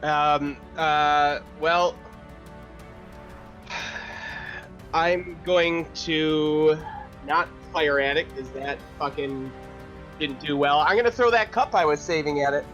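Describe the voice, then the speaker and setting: A man's voice: a little high, fairly even intonation, slow, pauses often, a bit bright. A man playing a tabletop game, chatting casually and tentatively, with some swearing. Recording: dull lo-fi sound with faint music.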